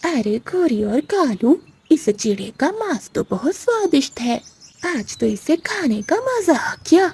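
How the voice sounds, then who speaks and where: A woman voicing an elderly, sly, predatory female eagle in a children's cartoon, with a drawn-out delivery. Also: sits high, big pitch swings, darkish tone, exaggerated voice. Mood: gloating.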